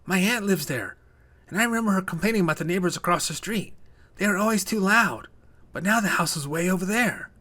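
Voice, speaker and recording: A man's voice: high voice, lively intonation, fairly quick, sharp tone, voice pushed up, heavily acted. A man voicing a timid but eager small boy in a children's story, speaking in an earnest, wide-eyed way. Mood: worried.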